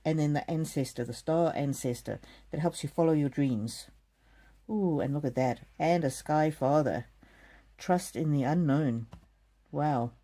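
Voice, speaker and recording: Low, mellow-voiced woman reading oracle cards. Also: a little slow. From South Africa. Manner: gentle, soothing, talks straight to viewers by name. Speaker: card reader. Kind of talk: live oracle card reading.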